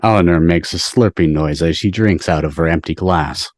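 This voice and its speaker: A man's voice: deep voice, moderate pace, no echo. A man on a video call reading stage directions as narrator of an amateur table read.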